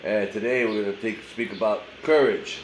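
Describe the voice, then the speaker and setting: Nasal pastor with a head cold. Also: male voice, fairly low pitch, steady tone, normal speed, reverberant, slight background noise. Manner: casual, conversational preaching with many fillers. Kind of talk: short daily sermon.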